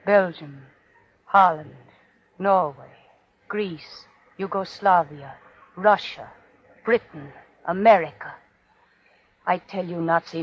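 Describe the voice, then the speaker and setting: A woman playing a defiant condemned prisoner, solemnly accusing her captor in incantatory, repetitive 1940s radio drama oratory. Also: sits low. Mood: defiant, prophetic. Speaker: actor. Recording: dull, noisy old radio recording.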